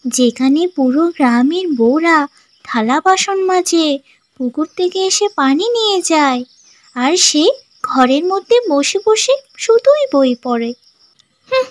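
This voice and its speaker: A woman playing a mocking, snide young village gossip in exaggerated cartoon dialogue. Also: very high voice.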